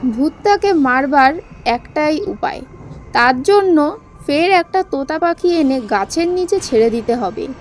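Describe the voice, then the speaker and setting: Old village uncle, read by a woman: high voice, slow, measured advice, cartoon tale. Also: animated, faint music behind. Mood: grave advice. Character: experienced old village uncle advising on ghosts.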